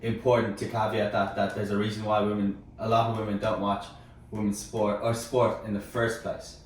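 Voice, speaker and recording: A man making measured points in a casual group discussion. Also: fairly low pitch, flat delivery, slight background noise. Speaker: panelist in a sports team discussion. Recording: very echoey room, distant mic.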